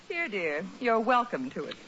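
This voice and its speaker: A woman playing an excitable, indignant middle-aged wife and mother in a 1940s radio comedy, with theatrical astonishment. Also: mid-range voice, a little slow, full character voice. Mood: relieved. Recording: muffled, hissy old radio recording.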